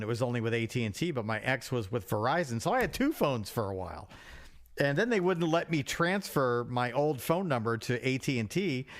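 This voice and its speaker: A man's voice: mid-range voice, fairly bright tone. A middle-aged man talking casually and conversationally as a podcast co-host.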